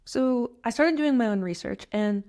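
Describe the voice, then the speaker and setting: A young American woman narrating a scripted personal essay in an earnest, emphatic, measured way. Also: fairly high pitch, darkish tone. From United States. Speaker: commentator.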